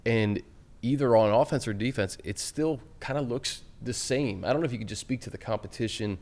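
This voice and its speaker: A man's voice: mid-range voice, brisk, a little mellow. An American man hosting a sports interview show, putting questions in a casual, conversational way.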